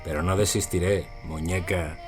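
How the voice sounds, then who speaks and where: A male actor plays a smooth barroom charmer in an audio drama. His voice is low and confidently seductive, over clear music. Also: fairly even intonation, moderate pace, close to an everyday voice. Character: smooth flirtatious male bar patron, persistent charmer.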